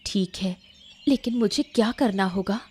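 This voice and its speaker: A woman's voice: mid-range voice, moderate pace, darkish tone, breathy edge, natural voice. A woman playing a concerned, sympathetic village girl in a Hindi cartoon story.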